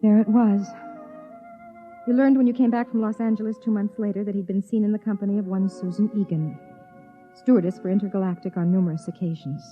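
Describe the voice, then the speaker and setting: An actress playing a lonely, anxious young wife in 1950s radio drama style, dramatic and deliberate. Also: female voice, medium pitch, steady tone, faint music behind, close to an everyday voice. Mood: wistful, brooding. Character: lonely, anxious young wife narrating her own story. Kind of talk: 1950s radio drama, second-person narration. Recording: dull old radio recording.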